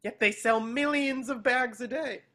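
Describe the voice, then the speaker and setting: A man's voice: very high voice, unhurried, bright, forceful tone, heavily acted. A man voicing an earnest, eager, boyish cartoon sea sponge in a table read, coaxing as he talks.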